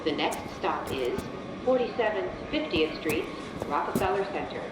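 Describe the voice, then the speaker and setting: A woman playing a measured, formal automated subway announcer, reading out a recorded transit announcement. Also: animated, lightly acted. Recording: distant, noisy train car speaker. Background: loud rumbling subway train.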